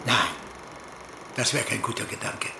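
A man playing a guarded, earnest East German Stasi colonel in a dramatised dialogue, answering in a clipped, evasive way with a very husky voice. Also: medium pitch, steady tone, moderate pace, roomy sound, noisy background, close to an everyday voice. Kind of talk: dramatised reading of a literary dialogue.

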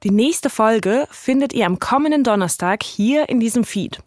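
A woman's voice: fairly high pitch, expressive, normal speed, no room sound, studio quality. A woman with a standard German accent delivering scripted narration as a podcast host.

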